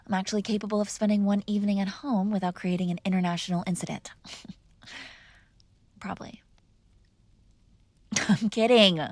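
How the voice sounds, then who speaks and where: A woman's voice: mid-range voice, dry recording, natural voice. A woman playing a mob boss's spoiled, defiant daughter in an intimate ASMR roleplay, teasing and sarcastic in a conversational way, close to the microphone. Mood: wry, teasing. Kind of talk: ASMR girlfriend roleplay, intimate one-sided dialogue.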